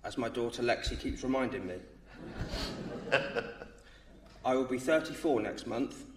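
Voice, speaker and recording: A man's voice: mid-range voice, unhurried, recorded from a distance. A man in his thirties from England, nervously reading his baptism testimony aloud. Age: 30s. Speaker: baptism candidate. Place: church. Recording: roomy church sound from off mic.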